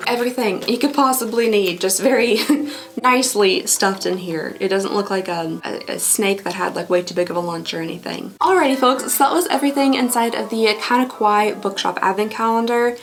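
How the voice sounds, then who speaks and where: A woman hosting a casual vlog, chatty and rambling with frequent giggles, her voice going hoarse from talking. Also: mid-range voice, brisk. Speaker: vlogger host. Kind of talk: casual unboxing review.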